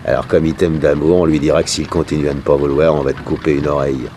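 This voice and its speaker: An actor playing a bossy, slangy kidnapper who threatens mockingly in a 1960s French radio crime serial. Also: male voice, a bass voice, level tone, fast talker, mellow, a little hiss or hum. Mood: gloating menace. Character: cynical gang henchman, bossy and mockingly menacing kidnapper.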